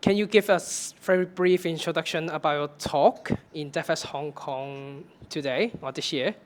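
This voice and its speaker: A man interviews a guest at a tech conference. His questions run long and loop back on themselves, with frequent restarts. His voice sits a little above the usual male pitch. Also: normal speed.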